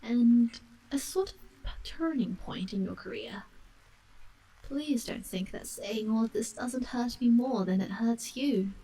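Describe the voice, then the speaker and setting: A woman's voice: fairly high pitch, huge pitch swings, unhurried, creaky, warm tone, slightly rough, recorded from a distance, heavily acted, rough recording. A woman voicing a firm but kind-hearted male water rat, earnest and reasoning, in a storybook.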